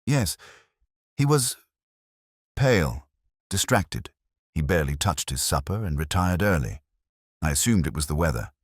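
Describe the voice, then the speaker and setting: A man voicing a proper, hesitant Victorian widow in a hushed, deliberate, formal manner for a storybook. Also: extremely low pitch, very animated, husky, dry recording, clean studio sound, heavily acted. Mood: uneasy, faltering.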